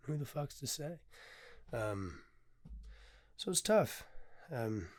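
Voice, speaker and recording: A hoarse, tired man hosting his own podcast in a casual, candid way, often pausing on "um" and swearing freely. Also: mid-range voice, sing-song. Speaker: podcast host and poet. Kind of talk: casual solo podcast talk.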